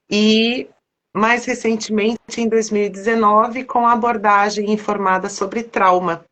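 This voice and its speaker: A woman's voice: mid-range voice, a bit bright, lo-fi sound. A woman with a Brazilian Portuguese accent, a psychotherapist guest chatting casually on a live stream. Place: online video call.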